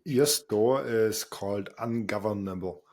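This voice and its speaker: Low-voiced male host. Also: measured pace, a little mellow. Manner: agreeing eagerly, with frequent "uh"s and repeated words. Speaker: podcast host. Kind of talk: casual interview talk.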